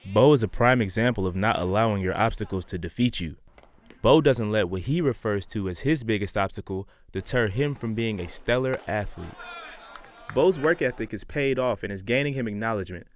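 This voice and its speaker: A young man reading a scripted sports feature voice-over as student reporter, in a measured, dramatic delivery. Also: fairly low pitch, animated. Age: young adult.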